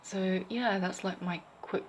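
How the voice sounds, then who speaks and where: A young woman giving her opinions in a casual vlog, rambling with fillers and restarts, her voice slightly croaky. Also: mid-range voice, fairly even intonation, measured pace, slight background noise. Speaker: vlogger.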